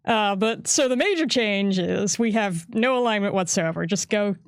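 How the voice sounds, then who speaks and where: A woman chatting casually about tabletop game rules, laughing often and acting out quick voices. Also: mid-range voice, expressive, smooth, no room sound, clean studio sound. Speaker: game design staff co-host.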